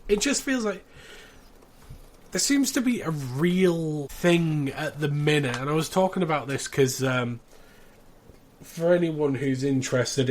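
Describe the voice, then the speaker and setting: A man hosting a casual panel chat about a show. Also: mid-range voice. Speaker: podcast host. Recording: clear background noise.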